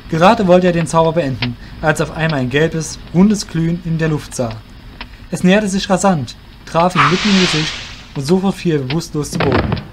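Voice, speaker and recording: A man's voice: a little high, normal speed, background noise. A man narrating a fantasy audio drama from a script.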